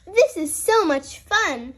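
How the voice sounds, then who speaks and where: Woman voicing an excitable, high-pitched little girl pig. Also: slow pace, exaggerated voice. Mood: excited. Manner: exclaiming, eager.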